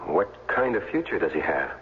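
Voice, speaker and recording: Plain-spoken ex-athlete stand-in: low voice, earnest, uneasy, informal, thin old radio-play sound. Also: male voice, sing-song, moderate pace, slightly rough, narrow, tinny audio, exaggerated voice. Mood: wary, questioning. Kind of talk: 1970s radio drama acting.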